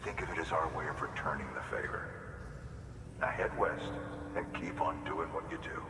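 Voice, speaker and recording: A man's voice: extremely low pitch, moderate pace. A man playing a friendly, admiring outpost worker in a video game, talking conversationally. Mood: grateful. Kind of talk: video game cutscene dialogue. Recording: echoey hall, distant, noisy background.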